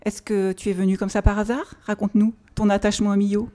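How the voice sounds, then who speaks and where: Mellow-voiced woman interviewer. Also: medium pitch, little pitch movement, fast talker. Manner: searching for words, friendly questioning. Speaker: interviewer, radio host. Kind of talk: casual interview questions. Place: small family café.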